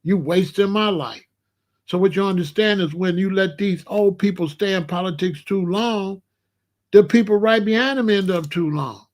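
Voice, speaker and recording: A man's voice: sits high, moderate pace, a little mellow. Blunt confrontational man ranting to his chat. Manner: blunt, repetitive, confrontational, calls out a chatter. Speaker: host of a live commentary stream. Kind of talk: unscripted live-stream commentary, talking to chat.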